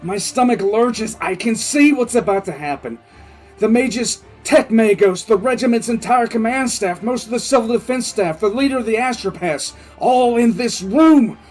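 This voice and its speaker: An actor playing a frantic, bitter military commissar in an audio drama, telling his story in halting, intense first person. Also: very high voice, expressive, darkish tone, soft background music. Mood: urgent, panicked. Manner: halting, intense, repeats words. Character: male military commissar, wounded, frantic, bitter survivor recounting disaster.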